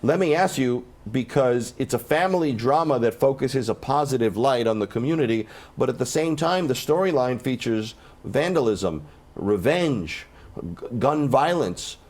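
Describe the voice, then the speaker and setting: Brisk male TV news host. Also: medium pitch, animated, darkish tone. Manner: friendly, brisk questioning. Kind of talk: morning TV interview. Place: TV studio.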